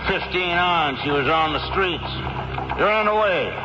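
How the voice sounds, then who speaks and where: An actor playing a bitter, sardonic, middle-aged dead ex-convict in a radio drama, talking bluntly with rough street diction. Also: male voice, high voice, animated, unhurried. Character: gruff, bitter dead ex-convict father, sardonic coach greeter. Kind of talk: 1970s radio drama acting. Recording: noisy muffled old radio broadcast.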